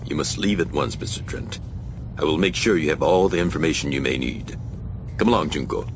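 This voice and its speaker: Game cutscene role: solemn, commanding order leader, deep register, gravel in the voice, rhetorical delivery. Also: male voice, animated, a little fast, background noise. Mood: grave. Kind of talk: dramatic video game cutscene acting. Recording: loud background music.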